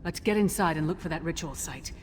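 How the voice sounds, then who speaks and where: A woman's voice: low-pitched, fast talker, natural voice. A woman playing a battle-hardened, commanding warrior companion in a video game, speaking bluntly and brusquely. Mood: resolute. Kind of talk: video game character acting. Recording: cavernous long reverb, background noise.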